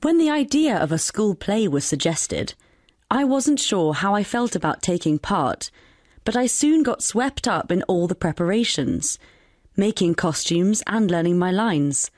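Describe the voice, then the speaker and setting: A woman reading an upbeat scripted monologue for a listening exam, with clear delivery. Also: mid-range voice, expressive, unhurried, a little mellow, no room sound, studio quality. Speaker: exam recording speaker.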